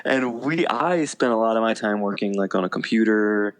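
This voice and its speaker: A man's voice: on the low side, animated, moderate pace, clear, resonant tone. A man chatting casually as a podcast guest, telling personal stories in an informal, self-deprecating way. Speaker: podcast guest, military veteran and teacher.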